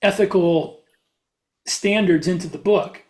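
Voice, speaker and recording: A man's voice: sits high, slow, a crowd in the background. A man chatting casually as a podcast host and author, with a wry manner. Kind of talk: casual chat.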